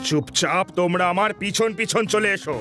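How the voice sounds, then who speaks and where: A bragging, cowardly grown man, played by a man, talks in a very high voice. He boasts and frets in cartoon style. Music plays underneath. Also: fairly quick, natural voice. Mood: boastful. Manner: bragging, then panicky. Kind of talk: cartoon dub acting.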